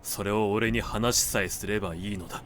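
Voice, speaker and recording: A man voicing a menacing lowly servant with a sword in a literary reading, terse and commanding, in archaic speech. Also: fairly even intonation, fairly quick, fairly bright tone, slight background noise, natural voice. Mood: firm, softened. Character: menacing young male servant turned robber with a sword.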